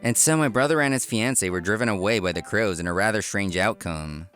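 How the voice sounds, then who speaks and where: A man's voice: fairly low pitch, fairly quick, a bit bright, faint music behind. A man reading scripted first-person narration for a manga story. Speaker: narrator and lead character.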